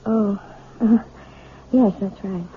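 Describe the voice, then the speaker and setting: An actress playing a gentle, nervous married piano teacher in an old-time radio drama, evasive and faltering. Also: female voice, medium pitch, steady tone, slow, husky. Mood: flustered, evasive. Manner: soft-spoken, evasive, faltering. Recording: tinny vintage radio, faint noise.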